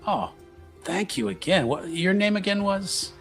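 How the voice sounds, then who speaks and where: A man's voice: high-pitched, animated, unhurried, fairly bright tone, soft background music, voice pushed up, heavily acted. Man playing a easygoing short tavern charmer. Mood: flirty, easygoing. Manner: friendly, informal, repeats himself.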